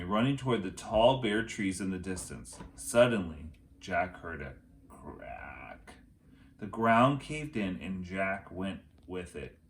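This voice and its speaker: Male teacher, storybook narration: fairly low voice, highly varied intonation, dramatic phrasing for children. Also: a little hiss or hum. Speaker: teacher reading aloud to children. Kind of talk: storybook read-aloud narration.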